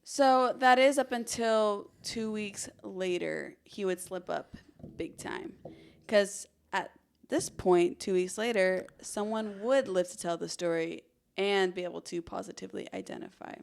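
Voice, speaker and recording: A woman's voice: medium pitch, unhurried, creaky. A woman hosting a true crime podcast, telling the story dramatically with casual conversational asides.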